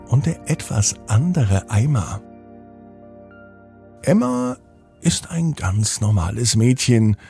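A man's voice: mid-range voice, vocal fry, dark tone, slightly rough. A man hosting a children's podcast, narrating a bedtime story expressively and speaking directly to children.

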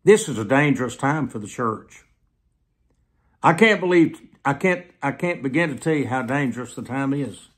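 An American man in his seventies preaching to camera in an emphatic, deliberate way, repeating phrases for weight. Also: mid-range voice, expressive. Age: 70s. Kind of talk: sermon delivered to camera. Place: small plain room indoors.

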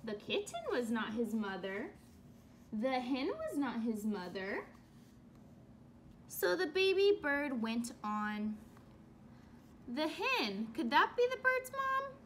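A young woman teacher reading a children's book aloud and asking questions in an expressive, instructive way. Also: high voice, takes it slowly, pauses often, a little hiss or hum. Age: young adult. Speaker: elementary school teacher. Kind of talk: children's read-aloud lesson. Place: small room at home.

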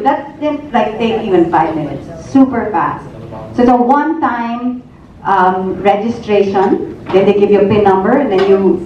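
A woman hosting a live event on a handheld mic, chatty and laughing easily. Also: mid-range voice. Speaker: event host. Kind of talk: live event hosting, English mixed with Tagalog. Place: large indoor event hall. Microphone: handheld microphone. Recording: echoey hall, from far off, muffled, with crowd.

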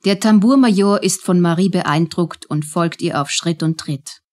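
A woman's voice: on the low side, normal speed, a little mellow. A woman narrating a German radio play in a scripted, storytelling style.

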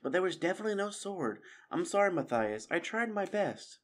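A man's voice: sits high, very animated, a little fast, voice pushed up. A man voicing a plucky, frank mother squirrel, a weary heroine in a storybook. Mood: apologetic.

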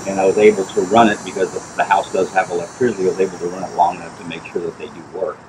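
A middle-aged man, a pool inspector, explains repairs in a plain, measured way with frequent fillers, outdoors by a backyard pool. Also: low-pitched, level tone, background noise. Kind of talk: on-site explanation to camera.

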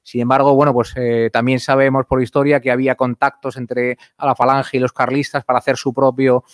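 A man speaking from the floor at a book presentation, pausing often and filling gaps as he searches for words. His voice is smooth and resonant, and it comes through a stand microphone with a dry, close sound. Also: mid-range voice, level tone, dry recording. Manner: hesitant, many fillers. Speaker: guest from the audience. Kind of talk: hesitant comment from the floor. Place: library hall, indoors.

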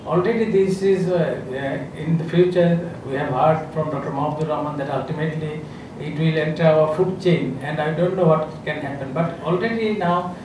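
A man's voice: on the high side, moderate pace, few pauses. A middle-aged man with a Bangladeshi English accent, a health official giving unscripted panel remarks at a podium, hesitant, with many fillers and restarts. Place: conference room with a seated audience. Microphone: podium microphone. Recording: echoey room, voice from a distance, clear background noise.

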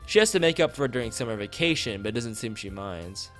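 A man's voice: mid-range voice, animated, fast talker, a bit bright. A man streaming a visual novel, reading its text aloud with casual asides, often stumbling and repeating phrases. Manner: stumbles over read lines and repeats phrases. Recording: music behind the voice. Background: background music.

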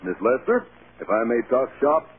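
A man's voice: medium pitch, wildly swooping pitch, normal speed, breathy edge, phone-quality sound, exaggerated voice. An actor playing a kindly studio boss in a vintage radio drama, fatherly and businesslike. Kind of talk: 1930s-40s radio drama acting. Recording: noisy, band-limited vintage radio.

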